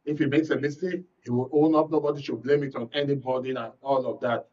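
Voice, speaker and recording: A man's voice: medium pitch, fairly even intonation, fast, mellow. A man with a Nigerian accent hosting and moderating a live political talk show. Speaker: host. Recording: muffled, distant-mic broadcast audio.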